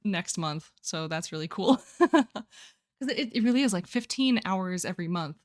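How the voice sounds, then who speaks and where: A woman's voice: lowish voice, a little slow. A young woman streaming live, chatting casually with her viewers, rambling and laughing often as she answers chat. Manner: giggly, rambling, excitable.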